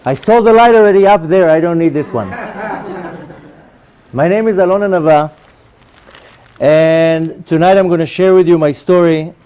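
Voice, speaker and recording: A man in his forties with an Israeli accent, a native Hebrew speaker, giving an informal, animated personal testimony as a religious lecturer. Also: on the high side, normal speed, long pauses, smooth. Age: 40s.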